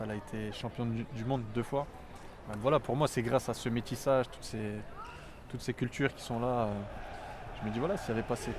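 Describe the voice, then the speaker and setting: Earnest young man, street noise behind. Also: mid-range voice. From France. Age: 20s. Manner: earnest and reflective, often pausing to think. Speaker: interviewee. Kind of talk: documentary interview talk.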